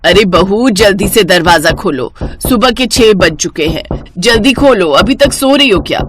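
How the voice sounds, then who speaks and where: A woman's voice: mid-range voice, expressive, unhurried, a little hiss or hum, close to an everyday voice. A woman voicing a bossy, impatient older mother-in-law who craves food in a family cartoon story.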